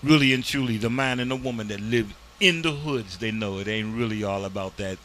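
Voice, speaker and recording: A man's voice: on the low side, brisk, fairly bright tone, a little hiss or hum. A man hosting a community TV interview in a studio, talking in an emphatic, preachy way. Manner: preachy, emphatic, uses "this here". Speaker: community TV host. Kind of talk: casual interview and commentary.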